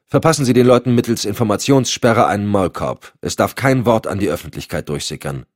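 A man's voice: fairly low pitch, expressive, fairly quick, dry recording, studio quality. A man voicing a grave, decisive male president giving firm instructions. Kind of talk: audiobook acting.

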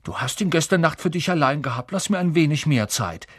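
A man voicing a blunt young schoolboy in a storybook reading, a loyal best friend who grumbles and gets excited quickly. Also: medium pitch, husky, no echo, studio quality, pitched above the performer's own voice. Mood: amazed, excited.

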